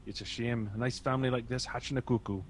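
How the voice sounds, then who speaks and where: A man's voice: medium pitch, steady tone, fast, a little hiss or hum, lightly acted. A man acting in a radio play as an affable, plain-spoken police officer with a casual, rambling manner. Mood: affable, easygoing.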